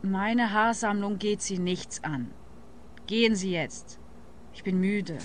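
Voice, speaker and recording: A woman's voice: medium pitch, takes it slowly, slight background noise, lightly acted. An actress with a Swiss accent playing a defensive, bitter eccentric woman in a radio play, earnest and easily offended. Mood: defensive, curt. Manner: earnest, touchy. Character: defensive, bitter female eccentric hair collector.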